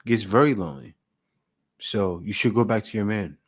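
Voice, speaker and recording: A man's voice: fairly low pitch, fairly quick, slightly rough. A man hosting a reaction video, who speaks in a blunt, lecturing and emphatic way. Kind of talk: opinionated reaction commentary.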